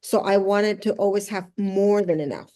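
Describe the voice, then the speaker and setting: A woman's voice: mid-range voice, moderate pace, warm tone. Middle-aged woman from El Salvador, earnest storyteller. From El Salvador, moved to the US at six. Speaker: interview guest, luxury house flipper. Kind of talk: conversational interview storytelling.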